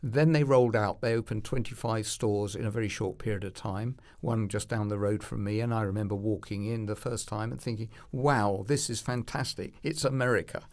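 A man, a food industry consultant, answering interview questions in a measured, explanatory way. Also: lowish voice, steady tone, darkish tone. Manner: measured, explanatory, with short lists. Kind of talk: conversational interview.

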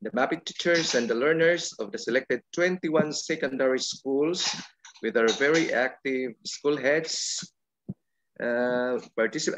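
A man with a Filipino accent giving formal ceremonial greetings as an education supervisor, deliberately announcing names and titles. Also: mid-range voice, fairly bright tone, a little hiss or hum. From Philippines. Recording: dull lo-fi audio.

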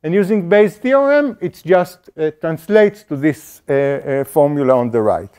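A middle-aged man explains a statistics topic to a lecture hall, his voice sitting somewhat high for a man. He talks through ideas step by step, stumbling into fillers and restarts. He is picked up on a small mic worn on the body. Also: animated, measured pace, a little mellow, smooth. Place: lecture auditorium.

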